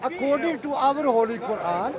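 A man's voice: very high voice, animated, normal speed, over a noisy crowd. An older man debating religion outdoors in a park, insistent and repeating himself. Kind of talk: street debate. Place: outdoor park, city street noise.

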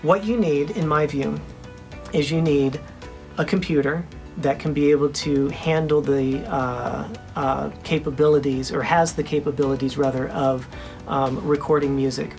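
A young man with a high voice, hosting a casual vlog from his small home studio, talking earnestly with many ums and restarts. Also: fairly even intonation, normal speed, creaky, darkish tone, faint music behind. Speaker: independent musician and channel host.

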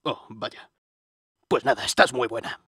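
A man's voice: mid-range voice, measured pace, fairly bright tone, breathy edge, dry recording, studio quality. A man playing an irritable, cocky, crude soldier hero in a comic cartoon parody dub, with an accent from Spain. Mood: cocky, casual. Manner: crude and irritable, jumps to outbursts. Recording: noisy amateur dub recording.

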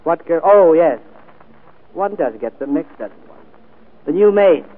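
An American actor playing a sly, cheeky manservant and scheming brother in a 1940s radio drama, slangy and mock-deferential. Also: male voice, fairly high pitch, expressive, measured pace. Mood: amused, teasing. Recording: tinny, band-limited 1940s radio broadcast.

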